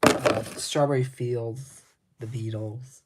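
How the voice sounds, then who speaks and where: A man showing records from his collection in casual talk, laid-back and rambling, his voice slightly raspy, with frequent ums and restarts. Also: medium pitch, steady tone, slow, very noisy.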